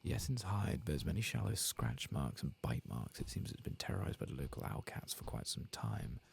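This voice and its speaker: A soft-spoken man giving ASMR video game commentary, hushed and often drifting into a whisper. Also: extremely low pitch, very animated, brisk, vocal fry, slight background noise.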